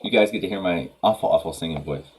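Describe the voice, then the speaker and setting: Brief: male streamer, thirties, deep husky voice, congested with a cold, loose rambling chat over a headset. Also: quick pace, rough recording. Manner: rambling, many ums and restarts, laughs easily. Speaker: livestream host of a music workshop. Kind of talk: casual livestream chat. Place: home studio room.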